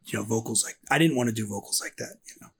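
A man's voice: fairly low pitch, very fast, vocal fry, a bit bright, slight background noise. A man who sings in a band, answering interview questions in a laid-back way and often trailing off. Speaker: band vocalist guest. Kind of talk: casual interview chat.

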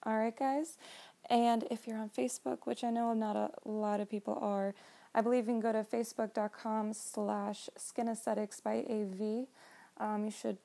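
A young woman in her twenties, an esthetician hosting a casual solo podcast, with a nasal voice and a slow, drawn-out way of talking. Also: fairly high pitch, little pitch movement, vocal fry, warm tone.

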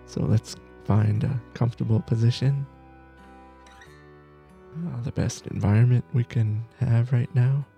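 Soft breathy guy leading meditation, super slow and kinda flat, gentle, long pauses, faint guitar behind. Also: mid-range voice, highs cut off. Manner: gentle, soothing, with long pauses between short phrases. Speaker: meditation teacher, podcast host. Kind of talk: guided meditation.

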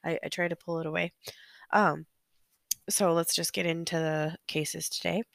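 Hoarse woman hosting a solo podcast. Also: on the low side, steady tone, normal speed, no echo. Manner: chatty and apologetic, with many ums. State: sick, hoarse, losing her voice. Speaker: podcast host. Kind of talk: solo true-crime podcast narration. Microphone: close podcast microphone.

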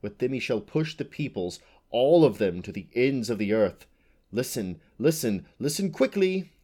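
A man's voice: medium pitch, sing-song, normal speed, mellow. A man voicing a flighty, swaggering male butterfly who chants and sings jumbled scraps of songs and verse. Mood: urgent. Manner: sing-song, chanting, jumbled recitation. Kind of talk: audiobook character voice.

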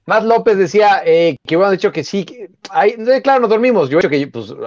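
A man's voice: high voice, expressive, a little fast. A man co-hosting a live sports stream, chatting casually and greeting viewers with many fillers. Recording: dry, dull lo-fi sound.

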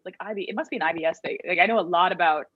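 A man's voice: sits high, very fast, husky, phone-quality sound. A young man whose first language is German, a guest comedian chatting casually and rambling playfully on a video call through earbuds. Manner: rambling, self-interrupting, playful. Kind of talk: casual podcast chat.

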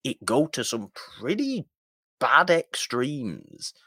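A man co-hosting a film podcast, casually giving his opinions in a halting but emphatic way. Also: medium pitch, sing-song, sharp tone, dry recording, clean studio sound. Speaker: podcast co-host.

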